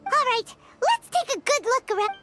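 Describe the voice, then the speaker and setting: A woman voicing a tiny, childlike fairy companion in a video game, squeaky and excitable, with animated delivery. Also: moderate pace. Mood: eager. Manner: refers to self in third person, animated.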